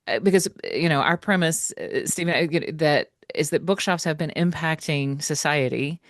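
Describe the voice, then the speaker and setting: Low-voiced American woman hosting, dry sound. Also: normal speed, no echo. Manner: rambling questions with fillers and repeats. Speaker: host. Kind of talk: interview questions.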